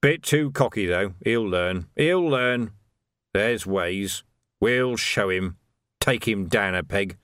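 Dude voicing a sly bitter sergeant, grumbly, rough talk, bouncy pitch, dry close mic. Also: male voice, expressive, slow, fairly bright tone, no echo. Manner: grumbling, scheming, common speech. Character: sly, resentful male sergeant. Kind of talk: audiobook character voice.

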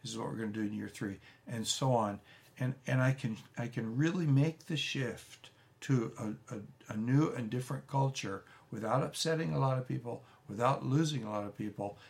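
Older pastor, gravelly voice. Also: male voice, medium pitch, normal speed, a little mellow. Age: 70s. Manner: folksy, rambling, many restarts and asides. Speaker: pastor teaching a church leadership course. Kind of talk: unscripted teaching talk.

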